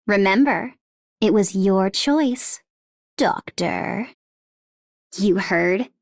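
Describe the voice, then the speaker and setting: A woman's voice: a little high, fairly bright tone, no room sound, studio quality, exaggerated voice. A woman playing a cocky young mercenary in a video game, with a mocking, playful and sardonic manner. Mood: sly. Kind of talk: video game character voice lines.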